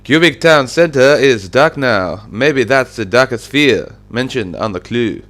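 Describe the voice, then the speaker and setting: Man doing an excitable mock-French adventurer. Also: medium pitch. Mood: exaggerated. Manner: exclaiming, drawn-out, exaggerated.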